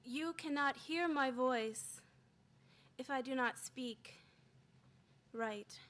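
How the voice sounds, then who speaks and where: Woman poet, very slow pace, fairly high voice, measured phrasing, dramatic pauses. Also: fairly bright tone, slight background noise. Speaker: poet reading own work. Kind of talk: spoken-word poetry reading. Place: poetry club, live reading.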